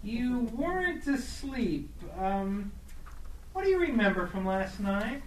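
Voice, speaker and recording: A woman's voice: fairly high pitch, big pitch swings, slow pace, darkish tone. A woman playing a dry, impatient companion in a comic radio play. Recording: echoey, distant mic, faint noise.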